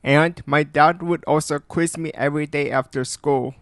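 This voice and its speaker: A man from South Korea with a light Korean accent, narrating a personal story in a calm, earnest, conversational way. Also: on the high side, normal speed, dry recording, studio quality. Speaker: animation storytime creator.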